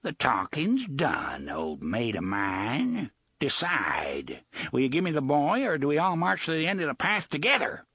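Un hombre que hace de un viejo pirata lascivo. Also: male voice, a little high, very animated, unhurried, pushed and bright, breathy edge, no room sound, studio quality, voice pushed up, heavily acted. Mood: menacing, crooning. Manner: crooning, wheedling, with old-fashioned slang. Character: leering old male pirate, menacing and sly.

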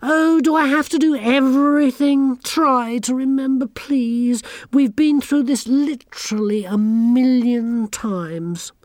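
A woman's voice: sits high, fairly even intonation, slow pace, few pauses, darkish tone, dry recording, clean studio sound, pitched above the performer's own voice. A woman voicing a haughty, bossy teenage girl witch who talks down to everyone in a sneering, imperious way.

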